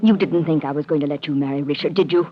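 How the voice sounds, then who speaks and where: An actress playing a scheming, murderous young heiress with menacing mock sweetness in a 1940s radio mystery. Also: female voice, low voice, fast talker. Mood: cold menace. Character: cold, scheming murderous young heiress, smug then desperate. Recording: dull, faintly noisy vintage radio recording.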